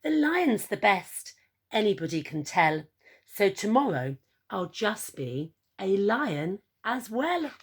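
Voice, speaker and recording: A woman's voice: mid-range voice, very animated, takes it slowly, breathy edge, full character voice. A woman reading a children's picture book, voicing an eager, boastful young male emu in an exclamatory, theatrical way. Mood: gleeful, determined.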